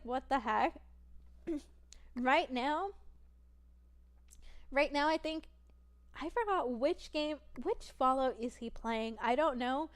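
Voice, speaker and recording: A young woman streaming and chatting casually, rambling and giggling, with a naturally soft voice that is tired from long streaming. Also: high voice, clear, resonant tone. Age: young adult.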